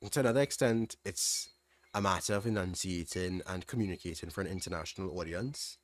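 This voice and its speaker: A young man with a mild Trinidadian accent answering chat questions on a livestream, relaxed, thinking aloud with restarts. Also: low voice, a little fast. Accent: Trinidadian, fairly standard. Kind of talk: casual livestream Q&A.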